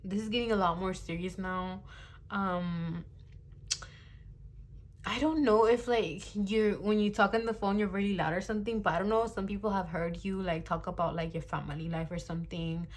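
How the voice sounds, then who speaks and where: A woman's voice: medium pitch, steady tone, normal speed. A woman in her twenties giving a casual tarot reading to camera, chatty and blunt, swearing freely and laughing often, recorded on a phone. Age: 20s. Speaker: tarot reader.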